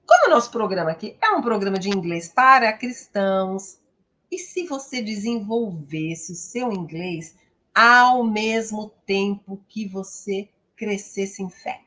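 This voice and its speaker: A woman's voice: medium pitch, lively intonation, unhurried, sharp tone. A woman with a Brazilian accent speaking as an English teacher and host, giving an instructional, promotional talk in a didactic, emphatic manner with frequent tag questions.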